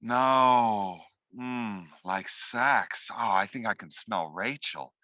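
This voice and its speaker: Man playing a drunk, crude boss, sharp bright voice. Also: on the low side, slow, no room sound. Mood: maudlin. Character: drunk, drug-addled, crude male boss, erratic and needy. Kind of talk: comedy screenplay table read. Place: remote, over a video call. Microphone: video call.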